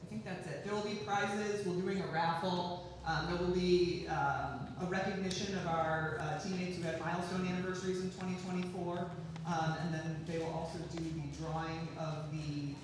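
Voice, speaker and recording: A man with a fairly high voice talks staff through updates in a loose, chatty way full of ums. He sounds far from the microphone in an echoey hall with long reverb. Room noise is clearly audible behind him. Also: flat delivery, normal speed. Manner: chatty, filler-heavy with many ums. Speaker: HR presenter at a company meeting. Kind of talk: casual presentation to staff. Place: large meeting room with staff audience.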